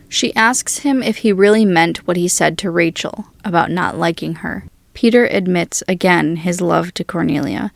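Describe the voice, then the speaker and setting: A woman's voice: mid-range voice, vocal fry, no echo. A woman reading scripted story narration in the present tense, recounting events as a storyteller.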